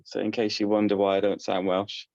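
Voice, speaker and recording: A man's voice: lowish voice, completely flat delivery, fairly quick, creaky, a little mellow, no echo. A man from North Devon, a guest songwriter chatting hesitantly about his song. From North Devon, England. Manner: hesitant, many ums and restarts. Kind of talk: casual podcast chat. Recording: dull, dry sound.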